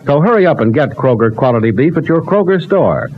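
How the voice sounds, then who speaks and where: A man working as a radio announcer, delivering scripted vintage narration and sponsor pitches with polished, emphatic salesmanship. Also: big pitch swings, brisk. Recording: dull, noisy vintage radio recording.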